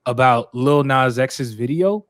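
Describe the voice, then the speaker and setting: A man's voice: mid-range voice, a little slow, fairly bright tone, no room sound, studio quality. A man in his thirties hosting a casual podcast, asking loose, filler-heavy questions. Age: 30s. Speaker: podcast host.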